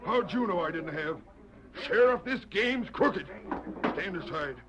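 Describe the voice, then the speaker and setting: A greedy, suspicious banker from an old radio western, with a high-pitched voice. He blusters and accuses, quick to anger. The recording is muffled and vintage. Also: lively intonation, background noise. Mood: furious.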